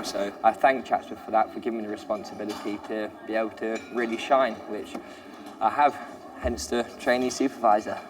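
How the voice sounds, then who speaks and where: A man, a trainee supervisor, talking in an upbeat, self-assured way with restarts while he works. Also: medium pitch. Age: 20s. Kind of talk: documentary interview and on-the-job talk. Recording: roomy space with clear background noise.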